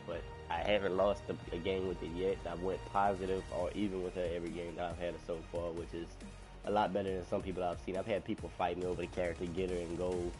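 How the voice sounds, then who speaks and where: A man streaming a game live, chatting casually and rambling, his voice nasal because he is still getting over being sick. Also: low-pitched. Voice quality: nasal from a cold. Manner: chatty, rambling, complains about rude players. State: recovering from illness. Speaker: gaming streamer.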